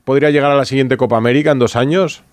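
A man from Spain hosts a sports radio programme with a Castilian accent. His pitch is average and his delivery is conversational. The sound is close and dry, as in a studio. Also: fairly even intonation, racing, clean tone, no room sound. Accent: Spanish from Spain. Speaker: radio host.

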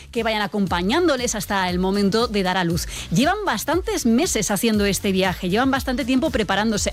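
Chatty Spanish-from-Spain woman radio host. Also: mid-range voice. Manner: lively, chatty, laughs easily. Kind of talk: live radio chat.

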